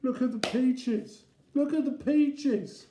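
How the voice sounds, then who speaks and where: A man's voice: extremely high pitch, slow pace, echoey room, background noise, voice pushed up. A man voicing an eager seven-year-old boy in a story, calling out insistently. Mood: urgent calling.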